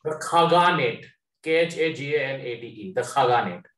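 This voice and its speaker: A man's voice: medium pitch, animated, moderate pace, a bit bright, reverberant, rough recording. A man competing in a quiz, answering and chatting casually. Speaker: quiz contestant.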